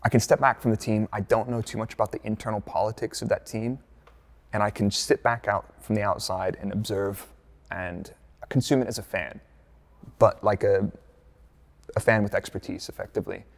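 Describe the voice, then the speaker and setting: A laid-back American man from Dallas talks through interview answers with a low, gravelly voice. He keeps it chatty, full of fillers and jokey asides. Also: fairly even intonation, normal speed. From United States, Dallas. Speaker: F1 content creator, former performance engineer.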